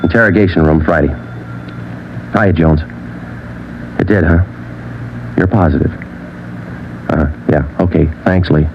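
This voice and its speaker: An American actor playing a relentless, deadpan police sergeant in an old radio crime drama. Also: male voice, sits low, a little slow, long pauses. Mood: matter-of-fact. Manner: clipped, deadpan, methodical. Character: relentless, matter-of-fact male police sergeant interrogator. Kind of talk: 1940s-50s radio crime drama acting. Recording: dull old radio recording with hiss.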